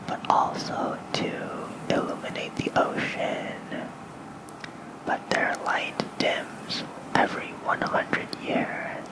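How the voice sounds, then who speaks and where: Slow, whispered ASMR lore reader. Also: poor audio. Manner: gentle, unhurried whispering. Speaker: ASMR host reading lore. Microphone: close fluffy-covered microphone.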